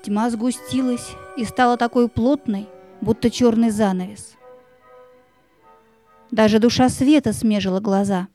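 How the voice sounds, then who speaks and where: Mellow, higher-voiced woman storyteller. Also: steady tone, measured pace, faint music behind. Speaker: narrator. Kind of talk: dramatic storybook narration.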